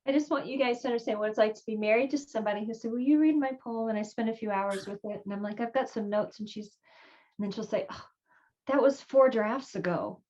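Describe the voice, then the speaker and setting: A woman's voice: a little high, fast talker, darkish tone. A woman speaking earnestly and conversationally as a poet in a casual panel discussion. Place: remote video call. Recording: dull, lo-fi video call audio.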